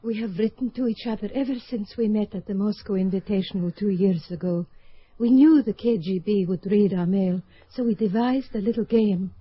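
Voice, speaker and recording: An actress playing a young Soviet figure skater in love, in an old radio drama. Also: female voice, mid-range voice, moderate pace, breathy edge, dry recording, lightly acted. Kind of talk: 1970s radio drama acting. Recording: dull old radio recording.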